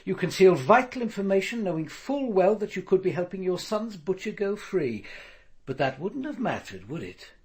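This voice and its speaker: Husky, stern police commander. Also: male voice, high-pitched, very animated, normal speed, a little mellow, no room sound, exaggerated voice. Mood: stern, accusing. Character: stern male police commander, probing and reflective. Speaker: actor playing the lead detective and narrator. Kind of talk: radio drama acting and narration.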